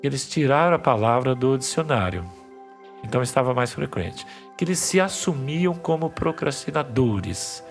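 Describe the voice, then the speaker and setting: A man's voice: mid-range voice, animated, normal speed, gravelly, faint music behind. A man, a psychiatry professor, patiently explaining ideas as a podcast guest, often restarting his phrases. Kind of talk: podcast interview.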